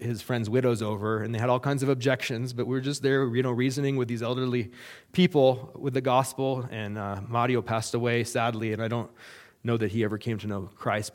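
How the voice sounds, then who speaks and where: Earnest, halting missionary man. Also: on the low side. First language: English. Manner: earnest, halting, with many fillers and restarts. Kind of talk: missionary report to a church.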